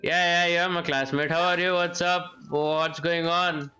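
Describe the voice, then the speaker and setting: A young man talks casually to his stream chat. His voice is bright and a little high, and he repeats short phrases while game music plays lightly behind him. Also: little pitch movement, measured pace, dull audio. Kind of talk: casual live gameplay chat with viewers.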